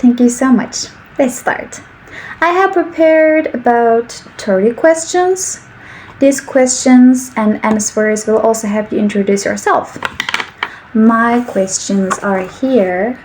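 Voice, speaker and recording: A woman in her twenties from Turkey with a Turkish accent, speaking English slowly and carefully as a channel host giving speaking practice. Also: a little high, darkish tone, a little hiss or hum, rough recording. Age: 20s. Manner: slow, careful speech with simple sentences. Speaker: English teacher. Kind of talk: slow English practice monologue.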